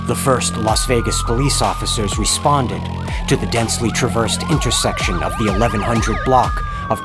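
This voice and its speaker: A man delivers scripted horror narration in a deliberate, ominous manner, dwelling on ornate phrases. His pitch sits in an ordinary male range, and music plays clearly behind him. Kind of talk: dramatic scripted true-crime horror narration. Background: background music.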